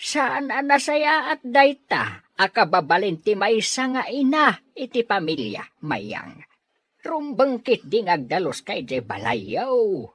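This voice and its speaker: A nagging village husband in a Filipino radio drama. The voice is rough and husky, recorded dry and close. His delivery rises and falls sharply as he disapproves. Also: high voice, wildly swooping pitch, takes it slowly, a bit bright, no room sound, full character voice. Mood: scolding.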